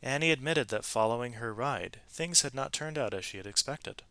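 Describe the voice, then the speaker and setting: Bright-voiced man reading a storybook aloud. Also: mid-range voice, lively intonation, moderate pace, no echo. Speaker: read-aloud narrator. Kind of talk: expressive scripted storybook read-aloud.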